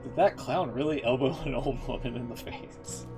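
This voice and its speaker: A man giving casual, halting reaction commentary as a game streamer, musing as he goes. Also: mid-range voice, over music.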